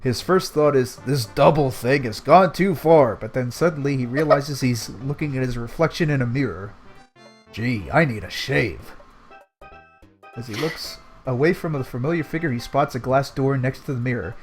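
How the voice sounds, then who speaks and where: A man's voice: mid-range voice, moderate pace. Male read-along host, casual storybook narration with amused asides, music underneath. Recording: music playing behind the voice.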